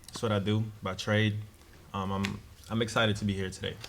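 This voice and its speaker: Relaxed podcast guest from Saint Lucia, low gravelly voice, light hiss in the room. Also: male voice, moderate pace. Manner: relaxed, thoughtful, filler-heavy. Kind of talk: casual group chat.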